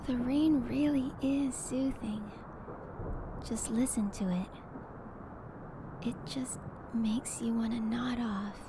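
A woman voicing a gentle, affectionate young pony princess in a soft, intimate, murmuring ASMR roleplay. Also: on the high side, takes it slowly, pauses often. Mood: cozy, soothing. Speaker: voice actor in a fan roleplay. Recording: loud steady rain-like ambient noise. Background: rain.

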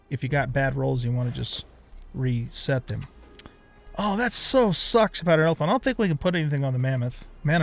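A middle-aged man giving casual let's play commentary, thinking aloud as he plays. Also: mid-range voice. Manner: talks to himself, thinking aloud.

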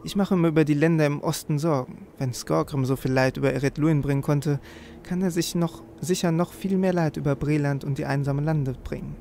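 A man with a creaky voice hosting a let's play, commenting on the game and reading quest text aloud. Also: fairly high pitch, steady tone, a little fast, dark tone, slight background noise. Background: game music.

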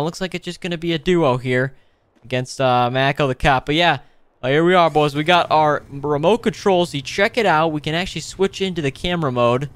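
A man hosting a gaming video with casual, playful roleplay commentary, excitable and given to exclaiming. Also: a little high, smooth, no room sound. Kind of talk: casual improvised roleplay commentary over gameplay.

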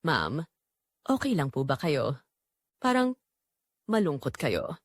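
A woman puts on a young delivery man for an audio drama, dropping well down in pitch. He sounds respectful, gently concerned and a little hesitant. The sound is dry. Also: wildly swooping pitch, slow, breathy edge, no echo, full character voice.

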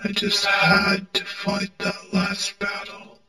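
Guy doing a sad broken robot prince, really slow and halting, echoey. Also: high voice, completely flat delivery, pushed and bright, faint music behind, full character voice. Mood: mournful, regretful. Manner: halting, melodramatic, broken phrasing. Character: broken robot prince, war-weary, mournful, self-loathing leader. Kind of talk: dramatic amateur character monologue.

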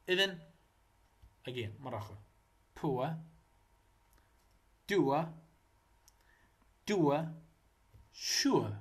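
A young man with an Iraqi Arabic accent teaching English phonetics in a recorded lecture, repeating model words loudly and slowly. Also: fairly high pitch.

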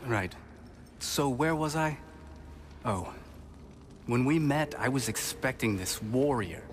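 A veteran warrior in a fantasy game, telling tales fondly. He speaks slowly and wistfully at a medium pitch, with clear ambient noise behind him. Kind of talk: video game dialogue acting.

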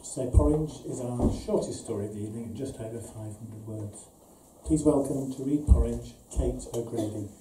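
A man's voice: mid-range voice, normal speed, hardly any pauses. Middle-aged male host in an echoey venue. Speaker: event organiser and host. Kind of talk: scripted stage introduction. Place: small event venue with stage, indoors. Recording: echoey, distant, clear background noise.

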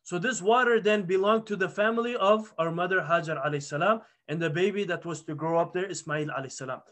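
A man in his thirties teaches a religious study circle from a plain indoor room, speaking into a webcam. His voice sits high for a man, and he tells the story earnestly, often restarting his phrases. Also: quick pace. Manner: earnest, animated retelling with restarts. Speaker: halaqa teacher. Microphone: webcam video call.

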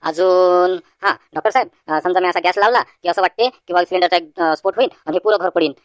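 Woman voicing a fast-talking, anxious Vidarbha villager man. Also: fairly low pitch, dry recording, clean studio sound, close to an everyday voice. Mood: fretful. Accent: rural Vidarbha Marathi. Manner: rambling, naive, with repeated hesitant fillers. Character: anxious rustic village man, naive worrier visiting a doctor. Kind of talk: comic cartoon dialogue.

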